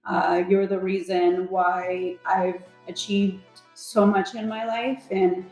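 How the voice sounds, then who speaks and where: A woman's voice: medium pitch, flat delivery, takes it slowly, a little mellow, soft background music. Chatty woman in her thirties, roomy sound. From Los Angeles, USA. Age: 30s. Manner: chatty, leans on "like" and "you know". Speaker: competition contestant. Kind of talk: casual interview talk.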